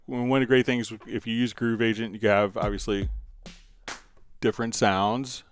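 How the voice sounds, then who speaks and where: Smooth-voiced man giving a software tutorial. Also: lowish voice, no room sound. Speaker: live stream host and music software instructor. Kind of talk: casual software tutorial, answering viewer questions.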